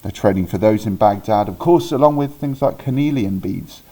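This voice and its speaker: Man, young adult, history teacher, casual recorded lesson, low voice, warm mellow tone, self-correcting, ums and restarts. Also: moderate pace. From Wales.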